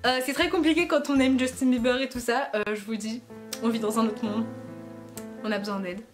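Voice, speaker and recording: A young woman from France talks to her viewers in a loose, joking vlog style, laughing at herself as she goes. Her voice sits high, and faint music plays quietly behind her. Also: fairly even intonation, fairly quick. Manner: playful, giggly, self-mocking. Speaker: YouTuber. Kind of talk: casual vlog chat to camera.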